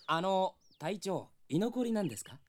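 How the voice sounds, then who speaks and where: A man's voice: high voice, sing-song, normal speed. A male actor playing a cheeky, laid-back young knight with a casual, wry manner in a Japanese drama CD.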